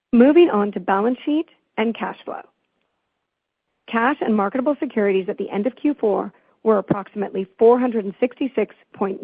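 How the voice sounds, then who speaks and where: A woman company finance executive reading a scripted earnings call presentation in a formal, measured manner. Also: mid-range voice, breathy edge, no room sound. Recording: tinny phone-like conference call line.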